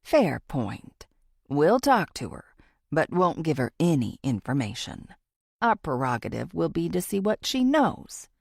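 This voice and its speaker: A woman narrator acts out a deep-voiced male cop in a suspense audiobook. He is curt and dismissive, guarding secrets with scorn. The recording is dry and close. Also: very animated, unhurried, creaky, breathy edge, no room sound, heavily acted. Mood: guarded, scheming. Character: gruff, dismissive deep-voiced male police officer, conspiring.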